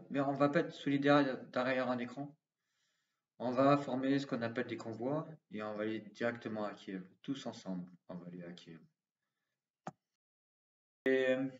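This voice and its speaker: A man making an earnest, impassioned appeal straight to viewers, repeating slogans. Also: mid-range voice. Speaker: commentator. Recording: roomy, distant, dull sound.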